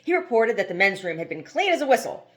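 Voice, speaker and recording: A woman's voice: fairly high pitch, sing-song, fast talker, fairly bright tone. A woman reading a novel aloud as scripted narration, now and then stumbling over a word. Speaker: amateur audiobook reader.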